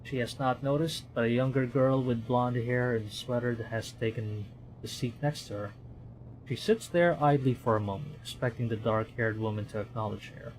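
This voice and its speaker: A man's voice: mid-range voice, normal speed, darkish tone, slight background noise, poor audio. A man from the Philippines, a streamer reading a horror visual novel aloud in a dramatic storytelling manner, with commentary.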